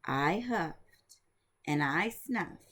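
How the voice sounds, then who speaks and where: A woman's voice: low-pitched, animated, very slow, fairly bright tone, breathy edge, voice pushed down, heavily acted. Woman reading as a dramatic, self-excusing storybook wolf. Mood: indignant. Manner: mock-innocent, dramatic storytelling. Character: wronged male wolf telling his side, self-excusing.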